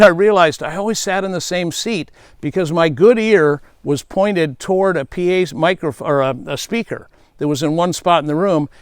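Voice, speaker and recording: A man's voice: a little high, clear, resonant tone, no echo, clean studio sound. A middle-aged man, a safety speaker, giving earnest advice and telling stories from his past. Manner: earnest, advising, storytelling. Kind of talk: speaking tip to camera.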